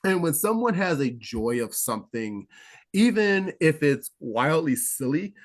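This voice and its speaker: A man's voice: a little high, very animated, measured pace. A man, a guest actor, recalling a film shoot in an interview, enthusiastic and rambling with restarts. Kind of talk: interview recollection.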